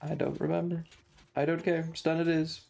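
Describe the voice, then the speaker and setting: A man's voice: fairly high pitch, monotone, moderate pace, vocal fry, warm tone, faint music behind. A man live streaming a video game in a casual, chatty way, sarcastic and exasperated, often reading game text in a dismissive manner.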